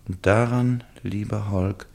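Brooding aristocrat, deep voice, reserved, radio drama style. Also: male voice, steady tone, slow pace, creaky, a little mellow. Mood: absorbed, wry. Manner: reads a letter aloud with muttered asides. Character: aristocratic married gentleman, brooding, reserved, conscience-pricked by a letter. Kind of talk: German literary radio drama acting.

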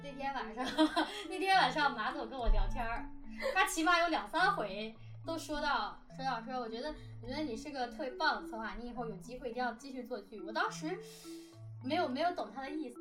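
A woman's voice: sits high, expressive, a little fast, far from the mic. A woman rambling casually and self-deprecatingly as she talks about producing audio drama. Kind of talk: casual behind-the-scenes chat. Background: music.

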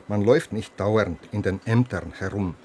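A man acting as a proud Estonian policeman in a radio play. His voice is fairly low, his delivery formal and slightly condescending, with faint noise behind him. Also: moderate pace, darkish tone, close to an everyday voice. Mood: cool, proud. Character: proud, matter-of-fact Estonian policeman questioning a visitor. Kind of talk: German radio crime drama dialogue.

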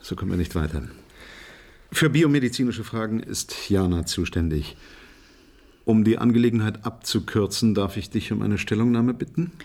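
A husky, sober spaceship officer. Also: male voice, fairly low pitch, moderate pace, darkish tone. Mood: brisk, firm. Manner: factual, businesslike. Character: matter-of-fact male spaceship officer, sober, analytical. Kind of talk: German science fiction radio drama acting.

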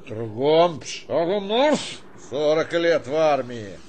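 A weary, grumbling old colonel. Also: male voice, a little high, very animated, slow pace, a little mellow, slight background noise. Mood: gruff, commanding. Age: older. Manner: grumbling, brusque, sighing. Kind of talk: radio drama acting.

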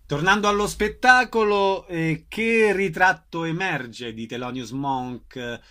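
A man's voice: fairly high pitch, slow, pushed and bright. A man interviewing guests on the radio, asking brief, prompting questions.

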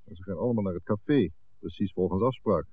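Wary menacing crook in an old dutch radio play, blunt talk, tinny phone-like sound. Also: male voice, deep voice, fast, dry recording. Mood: wary, brooding. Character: suspicious, threatening male crook, leader of his mates. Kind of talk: Dutch radio drama acting. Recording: narrow-band old 1960s radio recording.

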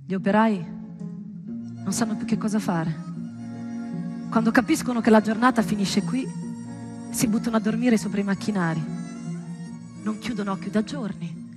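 A woman performing a scripted theatrical monologue, storytelling with deliberate pauses and emphatic, dramatic delivery. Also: medium pitch, over music. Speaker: stage performer.

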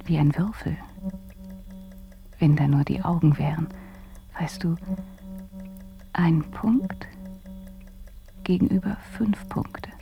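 An actress playing a bedridden, dreamy woman in a radio drama, with a hushed, breathy and halting delivery. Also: low voice, dull audio, soft background music, heavily acted. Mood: dreamy, searching. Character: bedridden dreamy woman describing visions, whispering tenderly to Phil.

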